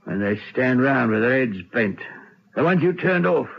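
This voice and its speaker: A man's voice: mid-range voice, lively intonation, normal speed, creaky, breathy edge. An actor playing a proud, dutiful prison hangman who is losing his nerve, in an old radio drama style with stammering protests. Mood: haunted, brooding. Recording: dull old radio recording.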